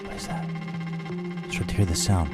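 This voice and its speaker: A man hosting a podcast, telling a comic story in a dramatic way, dropping now and then into a hushed whisper. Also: on the low side, very animated, a little slow, over loud music. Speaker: podcast host.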